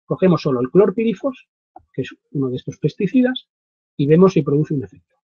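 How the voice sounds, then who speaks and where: Husky man lecturing, dull recording. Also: a little high, very animated, mellow. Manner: deliberate, emphatic, with frequent pauses. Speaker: webinar presenter. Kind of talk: explanatory lecture, semi-improvised. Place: small wood-panelled home study, indoors. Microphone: computer webcam microphone at desk distance. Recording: dull, roomy webcam audio.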